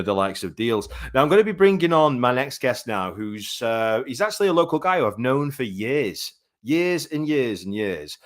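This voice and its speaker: A man hosts a live online show. He talks in a chatty, matey, effusive way. His voice sits at an ordinary male pitch. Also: fairly bright tone. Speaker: show host.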